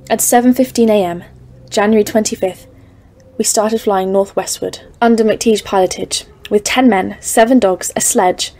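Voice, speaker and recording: A woman's voice: mid-range voice, a little mellow, lightly acted. A woman acting in an audio drama as a haunted expedition leader of thirty-four, grave and literary, foreboding in tone. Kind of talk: scripted audio-drama narration and dialogue. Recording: clearly noisy, ambient background.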